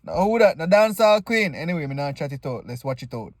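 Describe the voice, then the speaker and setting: A man's voice: on the high side, very animated, fast talker. A man with a Jamaican accent hosting a video with casual, slangy comic reaction commentary. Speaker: video host.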